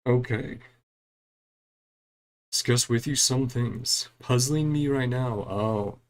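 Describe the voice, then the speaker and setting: Gravelly-voiced man casually playing a game. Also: medium pitch, slow. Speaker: gaming streamer. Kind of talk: casual game playthrough commentary, reading dialogue aloud.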